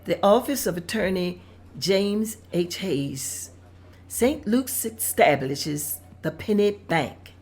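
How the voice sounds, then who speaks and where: A woman's voice: fairly low pitch, a little mellow, husky. A woman reading out scene directions at a script table read in a plain, descriptive manner.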